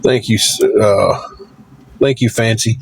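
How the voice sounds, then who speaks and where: A man with a thick Southern accent and a raspy, stuffy voice, chatting haltingly and apologetically on a phone livestream. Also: fairly low pitch, fairly even intonation, a little hiss or hum, rough recording. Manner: halting, repeats words, apologetic. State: stuffy nose. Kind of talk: casual livestream chat.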